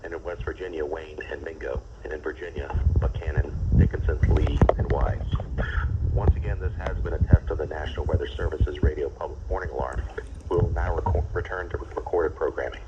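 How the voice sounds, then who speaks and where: A man with an extremely deep voice delivers a formal scripted announcement at a fast clip. He is heard through a weather radio, dull in tone and buried in heavy noise. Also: fairly even intonation. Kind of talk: scripted public warning test announcement. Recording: noisy, muffled weather radio receiver playback.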